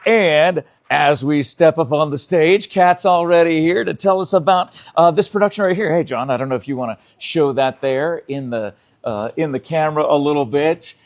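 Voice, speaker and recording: A man's voice: a little high, moderate pace, hardly any pauses. An American man hosting an upbeat live radio interview with a chatty, enthusiastic manner. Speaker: host.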